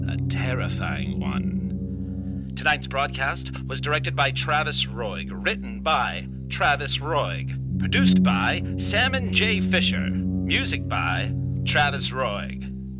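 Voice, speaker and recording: A man announcing a scripted radio-show sign-off in a theatrical manner. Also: fairly high pitch. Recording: dull sound under loud guitar music.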